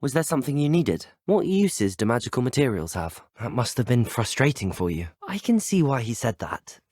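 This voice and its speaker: Young wizard student in a video game, polite n earnest, husky voice, really animated intonation. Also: male voice, medium pitch, moderate pace, no room sound, studio quality. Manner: courteous, well-spoken, formal phrasing. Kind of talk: acted video game dialogue clips.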